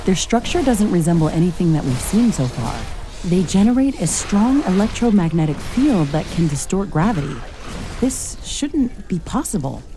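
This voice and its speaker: A woman's voice: lowish voice, big pitch swings, normal speed, noisy background. A woman playing a curious, determined scientist-commando who pilots a mech suit in a video game, explaining things purposefully. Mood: intrigued.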